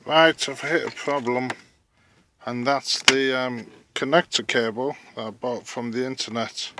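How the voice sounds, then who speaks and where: A man giving casual, halting hands-on commentary as he thinks aloud through a DIY job, recorded on a handheld phone. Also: mid-range voice, steady tone, background noise. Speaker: vlogger narrating a DIY car radio install.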